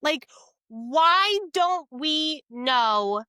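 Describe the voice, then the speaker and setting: Slow-paced, bright, higher-pitched woman chatting bluntly. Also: animated, dry recording. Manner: blunt, incredulous, emphatic. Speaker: podcast co-host. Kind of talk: casual book chat.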